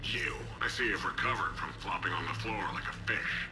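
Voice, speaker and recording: A man acting as an arrogant, menacing video game villain. His voice is very deep and smugly threatening, under loud music. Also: natural voice, poor audio. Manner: slow, threatening, smug. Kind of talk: video game cutscene dialogue.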